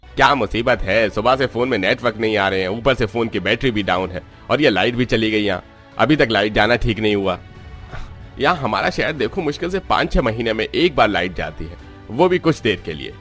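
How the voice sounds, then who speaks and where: A man's voice: fairly low pitch, animated, a little fast, fairly bright tone, soft background music. A man voicing a spoiled younger city man who complains in an exasperated way in a cartoon. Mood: irritated.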